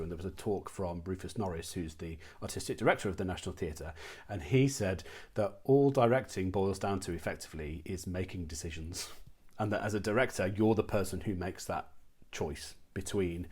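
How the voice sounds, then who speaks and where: A man's voice: low-pitched, darkish tone, breathy edge. A man hosting a conversational interview, putting questions and responding to the answers.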